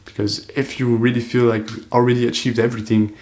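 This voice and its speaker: A man's voice: mid-range voice, level tone, fast talker, a little mellow. An eighteen-year-old man talking to camera in an earnest, loose, reflective monologue, full of "like" fillers. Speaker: self-improvement vlogger.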